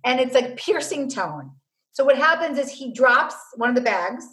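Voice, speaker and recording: A woman's voice: very animated, normal speed, a bit bright. A woman who works as a family mediator, talking earnestly as a guest, laying out numbered steps and telling anecdotes. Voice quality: low-voiced by her own account. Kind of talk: conversational guest explaining advice.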